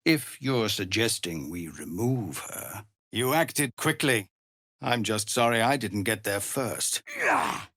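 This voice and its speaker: An actor playing a weary, honourable tiefling ex-paladin leading refugees in a fantasy game, husky and breathy, with grave, measured, formal delivery. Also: male voice, lowish voice, big pitch swings, bright, forceful tone, dry recording, studio quality, heavily acted. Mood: measured, earnest. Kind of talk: dramatic fantasy game voice acting.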